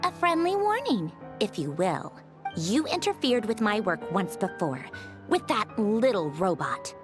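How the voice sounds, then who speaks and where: Slow, haughty young villainess. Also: female voice, mid-range voice, big pitch swings. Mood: veiled menace. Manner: pompous, slow, condescending. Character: haughty, refined young villainess puppeteer, menacingly polite. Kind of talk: theatrical scripted game dialogue. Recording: music behind the voice.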